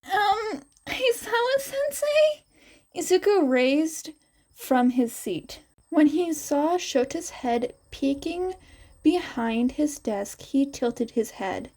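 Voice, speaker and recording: Woman narrator, high voice, lively intonation, scripted storytelling, audiobook style. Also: slow. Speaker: podfic narrator.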